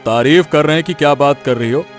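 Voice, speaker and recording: A man's voice: fairly high pitch, brisk, close to an everyday voice. A man voicing an overbearing, scheming rich village father in a cartoon moral tale. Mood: gossipy, puzzled. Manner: pompous, rustic wheedling talk. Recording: music faintly behind the voice.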